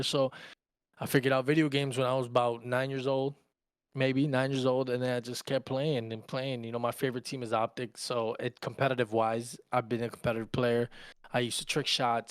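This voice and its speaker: A man's voice: mid-range voice, smooth, dry recording. A man in his early twenties, from Argentina and raised in Louisiana, chats casually as a podcast co-host, telling personal stories in slangy, sweary language. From Argentina, raised in Louisiana, USA. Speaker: podcast co-host and content creator.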